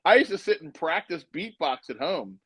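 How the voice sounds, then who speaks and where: Middle-aged male guest for a chat show. Slightly higher pitch, dry recording, loose joking storyteller. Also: moderate pace, breathy edge, dry recording. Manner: joking, rambling storyteller, speeds up when excited.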